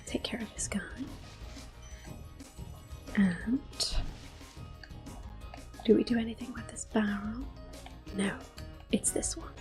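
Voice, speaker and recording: A woman giving gentle, unhurried, soft whispered ASMR commentary on a game as the host. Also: mid-range voice, fairly even intonation, faint music behind.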